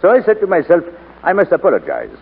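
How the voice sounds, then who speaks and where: An actor playing a suave, teasing gentleman in a 1940s romantic radio drama. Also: high-pitched, huge pitch swings, quick pace, creaky, exaggerated voice. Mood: playful, courteous. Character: suave, teasing gentleman visiting an old love. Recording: scratchy, tinny 1940s radio broadcast.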